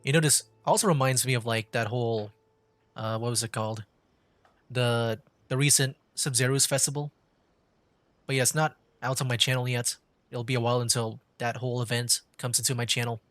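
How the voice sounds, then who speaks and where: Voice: medium pitch, steady tone, fairly quick, a bit bright, no echo. A streamer chatting casually over a game and reading dialogue options aloud, laid-back and conversational. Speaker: streamer, VTuber playing a game. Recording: game music under the voice. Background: game background music.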